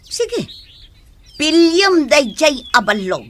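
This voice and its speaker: A woman's voice: high-pitched, very animated, slow pace, slight background noise, full character voice. A woman with a Filipino Ilocano accent playing an insistent, scolding middle-aged mother in a radio drama. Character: domineering mother pushing her daughter to marry.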